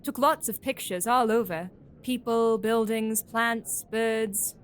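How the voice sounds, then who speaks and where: Eager, high-voiced young woman doctor. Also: slow, a little hiss or hum. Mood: confiding. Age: young adult. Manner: chatty, gushing, proper. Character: eager, gossipy young doctor at a scientific institute. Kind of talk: audio drama acting.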